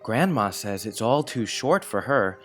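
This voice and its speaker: A woman's voice: very deep voice, expressive, measured pace, faint music behind. A woman playing a polite, thoughtful young boy in an audiobook drama, careful, frank and earnest. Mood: confiding. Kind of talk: scripted audiobook drama acting.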